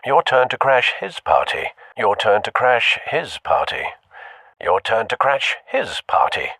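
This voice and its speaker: A man voicing a loyal older butler in a video game, droll and formal, with a husky voice. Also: medium pitch, sing-song, measured pace, pushed and bright, no echo, clean studio sound, exaggerated voice. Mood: wry. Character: dry, loyal older male butler and confidant.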